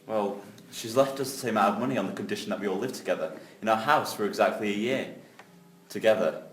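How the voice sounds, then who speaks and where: An actor playing an easygoing, hopeful brother who keeps the peace, in a conciliatory, low-key drama reading. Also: male voice, fast, natural voice. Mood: casual, resigned. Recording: echoey room, distant, faint noise.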